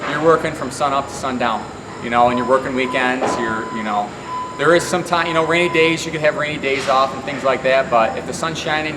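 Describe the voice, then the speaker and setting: A plain-spoken man giving informal interview answers as a farm employer, talking haltingly with many filler phrases. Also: mid-range voice. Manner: plain-spoken, halting, frequent "you know". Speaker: farm employer and heavy equipment operator. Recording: distant, echoing, loud vehicle and ventilation hum.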